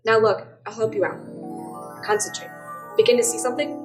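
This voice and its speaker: A woman playing a brisk, knowing angel who guides and narrates in a radio play. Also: on the high side, steady tone, over music, lightly acted. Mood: brisk, guiding. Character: brisk, knowing assistant angel guiding a newcomer.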